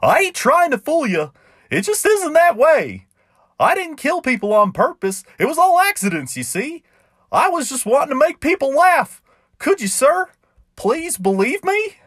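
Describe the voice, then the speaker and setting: A man voicing a small, tearful male clown outlaw in a very high, sputtering, pleading voice. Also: sing-song, a little slow, a bit bright, no room sound, clean studio sound, heavily acted.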